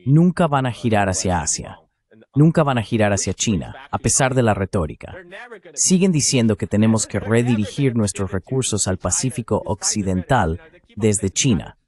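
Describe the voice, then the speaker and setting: Guy doing dubbed geopolitics commentary, voice a bit on the low side. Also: expressive, moderate pace, a little mellow. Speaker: political commentator, guest.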